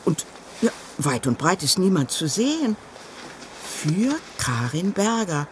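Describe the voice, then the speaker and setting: An actress playing a doting, excitable middle-aged mother in an audio drama, effusive and expressive. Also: lowish voice, slow, a little hiss or hum. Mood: puzzled, curious.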